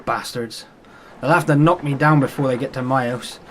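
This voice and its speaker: A man's voice: medium pitch, moderate pace, slight background noise, lightly acted. An actor as a hot-headed, grumbling Cornish fisherman. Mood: defiant, angry. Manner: blunt, mocking, grumbling. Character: hot-headed, sardonic working-class Cornish fisherman, resentful. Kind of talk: radio play acting.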